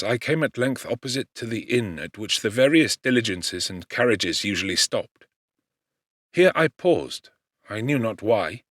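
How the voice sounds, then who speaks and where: Expressive man narrating a classic audiobook. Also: medium pitch, no room sound, studio quality. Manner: expressive, measured, theatrical. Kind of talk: dramatic literary narration.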